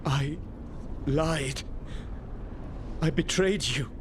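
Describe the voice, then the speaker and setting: Game cutscene role: weary immortal elf, regretful and measured, fairly high for a man, unhurried. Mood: anguished. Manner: formal, measured, sorrowful. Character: weary, regretful ancient elven god, solemn and grave. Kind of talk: dramatic video game cutscene acting. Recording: loud ambient rumble behind voice.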